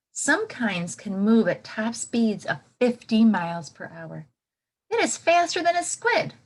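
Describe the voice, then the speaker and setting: A woman reads aloud as an elementary teacher would to young children, at an unhurried pace. Her intonation is very animated and expressive around a medium pitch, and she often steps away from the text to put questions and asides to her listeners.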